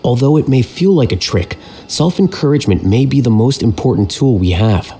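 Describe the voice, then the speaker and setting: Man as a cool, composed scholar, mid-pitched. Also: expressive, dark tone, close to an everyday voice. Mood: calm, persuasive. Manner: measured, matter-of-fact, aloof. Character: cool, calculating young male scholar, composed and aloof. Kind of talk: video game voice acting. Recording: clear music behind the voice.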